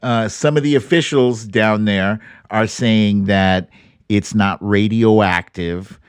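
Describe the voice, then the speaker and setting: A man with a fairly low voice hosts a casual livestream. He talks in a wry, informal, stop-and-start way, at an easy pace in a dry, close room. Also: steady tone, smooth, dry recording. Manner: informal, wry, halting delivery with occasional swearing. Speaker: livestream host. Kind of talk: casual live commentary, reading viewer comments.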